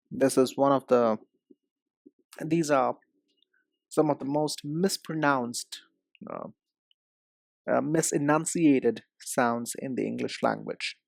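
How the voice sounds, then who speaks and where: Slow-talking Indian man teaching pronunciation. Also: mid-range voice, gravelly, dry recording, clean studio sound. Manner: deliberate, carefully enunciated. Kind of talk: instructional lesson with repeat-after-me drills.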